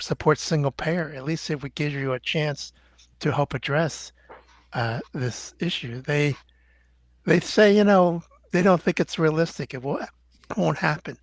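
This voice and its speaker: An older man, a doctor guest, answering in a measured, halting way with many fillers. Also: a little high, no room sound. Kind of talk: interview answers.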